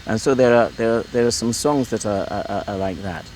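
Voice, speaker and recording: A middle-aged man from Ireland talks in a rather low voice, stopping often and repeating himself as he searches for words. He speaks as a priest being interviewed in his own home, with faint traffic sounds behind him. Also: fairly quick, darkish tone. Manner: hesitant, repeats words, many fillers. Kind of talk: relaxed conversational interview.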